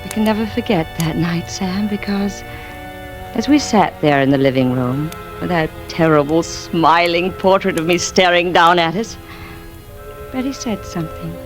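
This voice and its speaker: An actress playing an embittered, intense wife who feigns madness, confessing haltingly in 1940s radio suspense style. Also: female voice, fairly low pitch, natural voice. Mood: haunted, weary. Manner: dramatic, halting confessional delivery. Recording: vintage radio recording with music.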